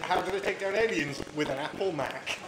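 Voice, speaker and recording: Brit bloke podcast chat, slightly high voice, talks fast and repeats himself, super noisy room. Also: male voice. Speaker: podcast co-host. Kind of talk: casual chat. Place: pub. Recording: echoey pub, very noisy.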